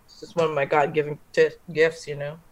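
A woman's voice: sits low, level tone, a little fast, voice pushed down, lightly acted. A woman playing a crude, boastful bearded male ski bum with a brash manner.

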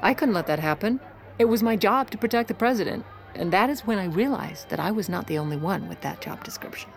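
An actress playing a determined, scheming president's wife in an audio drama, confiding and commanding by turns. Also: female voice, medium pitch, animated, quick pace, gravelly, faint music behind. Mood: urgent, resolute.

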